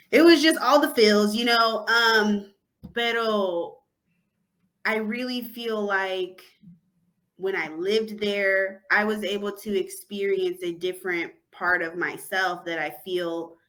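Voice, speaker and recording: A woman guest in a podcast interview, chatting casually and slipping into Spanish. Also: medium pitch. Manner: warm agreeing backchannel, switches into Spanish.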